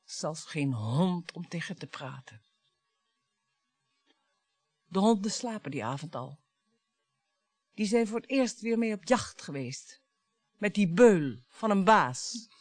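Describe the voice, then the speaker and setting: A bitter, wounded wife and mother in a radio play. Her pitch swings widely and dramatically, with sarcasm and sudden drops to a hush. The sound is dry and close, with music in the recording. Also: animated, slow pace, long pauses, no echo. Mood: desolate, bitter. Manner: theatrical, sarcastic, swinging from hushed to emphatic.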